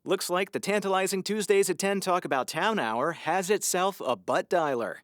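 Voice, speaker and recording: A man's voice: high voice, normal speed, a bit bright, dry recording, studio quality. A man playing an earnest, easily exasperated small-town radio host with wordy, showy patter in an audio comedy. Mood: on-air, showy. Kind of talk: audio drama comedy acting.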